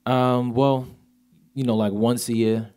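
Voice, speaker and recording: A man's voice: medium pitch, flat delivery, takes it slowly, dark tone, clear, resonant tone. A man in his twenties from Sweden, Swedish native speaker with a light accent, chatting casually as a singer guest, laid-back and full of "you know". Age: 20s. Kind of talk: casual interview chat.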